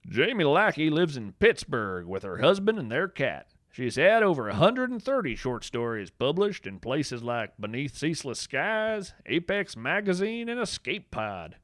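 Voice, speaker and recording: A man narrating scripted fiction as a podcast host, with a measured, deliberate and expressive storytelling delivery. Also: a little high, no echo, clean studio sound.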